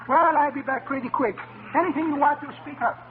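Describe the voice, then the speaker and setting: Very high-pitched eager waiter, old radio. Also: male voice, normal speed. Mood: obliging. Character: attentive, cheerful restaurant waiter. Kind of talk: 1940s radio comedy acting. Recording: tinny old radio, faint noise.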